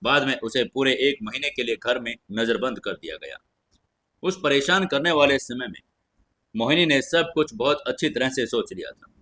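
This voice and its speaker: A man's voice: medium pitch, expressive, normal speed, pushed and bright. A man narrating a fantasy audio story from a script.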